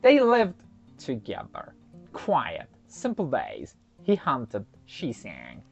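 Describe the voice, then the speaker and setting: A storyteller narrating a folktale in an expressive, dramatic way, with deliberate pauses. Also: medium pitch, creaky, breathy edge. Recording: slightly muffled, soft music underneath.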